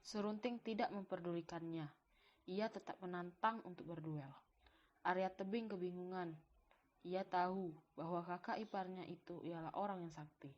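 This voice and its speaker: A woman's voice: fairly low pitch, muffled recording. A woman narrator reading a scripted folktale for a cartoon.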